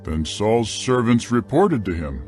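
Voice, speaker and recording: A man reads scripted scripture narration in a measured storytelling manner. His voice sits a little low and is very expressive in pitch, with faint music behind him.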